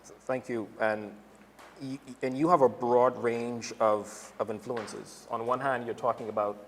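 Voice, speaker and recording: A man's voice: mid-range voice, steady tone, a little slow, slight background noise. A man, an art professor, asking careful questions with restarts as he moderates an artist talk in a gallery. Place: gallery hall. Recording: long hall reverb, faint ambience.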